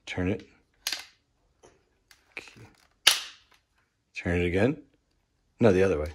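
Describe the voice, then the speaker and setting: Deep-voiced woman filming a playful unboxing. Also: background noise. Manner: playful, excitable, quick exclamations. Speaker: parent filming a toy unboxing. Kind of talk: casual chat. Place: home living room, wood floor. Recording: music playing under the voice. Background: music.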